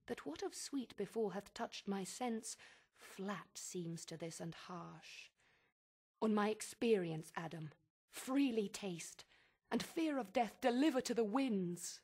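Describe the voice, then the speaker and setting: An actress playing a rapturous, devoted, persuasive wife in a verse epic, with impassioned, measured delivery. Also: female voice, mid-range voice, mellow, husky. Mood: ecstatic persuasion.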